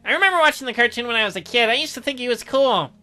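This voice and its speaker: A man's voice: very high voice, animated, rapid-fire, sharp tone, faint music behind, voice pushed up, heavily acted. A man voicing an awkward, skeptical young male game protagonist who teases and stammers. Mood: bragging. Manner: hesitant stammering mixed with glib mockery. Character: awkward, skeptical, teasing teenage guy protagonist.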